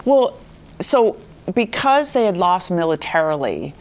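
Female guest, low voice, earnest explainer. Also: big pitch swings, a little slow, a little mellow. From Iran. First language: Farsi. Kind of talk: conversational interview.

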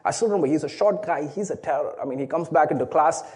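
A man's voice: fairly high pitch, fast talker, a little mellow. A man from Chennai with an Indian accent, a native Tamil speaker, preaching a sermon with a personal story and restarting phrases often. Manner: earnest, storytelling, repeats words at the start of phrases. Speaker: pastor.

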